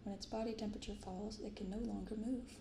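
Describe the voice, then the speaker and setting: A woman speaking softly in an ASMR style, giving gentle, unhurried casual commentary as host of a card-opening video. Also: medium pitch, steady tone, vocal fry, reverberant, noisy background. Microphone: binaural microphone.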